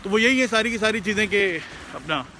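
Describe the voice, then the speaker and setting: A man with a Pakistani Urdu accent speaks in a fairly high, bright voice. He lectures earnestly in a relaxed live-stream way and mixes English words into his speech. Faint road noise runs behind him as he talks on the move, recorded on a phone. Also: fairly quick. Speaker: host answering viewer questions. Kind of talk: casual live-stream talk. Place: outdoors, driving past shops.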